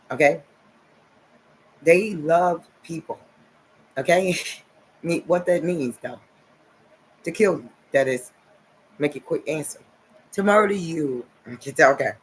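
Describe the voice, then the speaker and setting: A man's voice: a little high, slight background noise, low-quality recording. A man in his forties from New Jersey, talking to his viewers in a rambling, repetitive monologue full of "okay" and "nice". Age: 40s. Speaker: vlogger.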